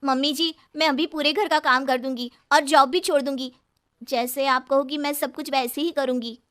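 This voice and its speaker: A woman's voice: high voice, fairly even intonation, normal speed, a bit bright, voice pushed up. A woman storyteller voicing a timid, frightened young bride who speaks meekly and earnestly in a moral story. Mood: anxious, eager. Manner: meek, earnest.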